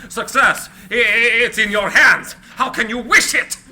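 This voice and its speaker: A man's voice: extremely high pitch, sharp tone, full character voice. A man playing a brilliant, eccentric, proud and desperate inventor in a theatrical, impassioned dramatic script reading. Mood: indignant, impassioned. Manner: theatrical, impassioned, halting when agitated. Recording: live room recording with faint background noise.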